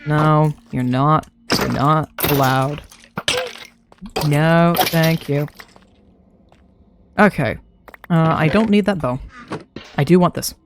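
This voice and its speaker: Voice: mid-range voice, slow pace, long pauses, noisy background. A young adult streamer chatting casually to themself while playing a game, laughing often, throat a little dry. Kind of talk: casual live gameplay commentary.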